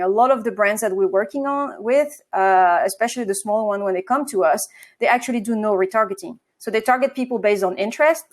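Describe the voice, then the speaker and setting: A woman from France with a French accent, a marketing agency co-founder answering interview questions, with hesitations and self-corrections. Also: mid-range voice.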